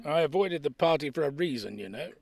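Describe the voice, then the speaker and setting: An actor playing a grumpy, sceptical old care home resident in an audio drama, creaky and drily teasing. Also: male voice, fairly high pitch, lively intonation, fast, a little mellow, no echo. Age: older. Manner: dry, sardonic.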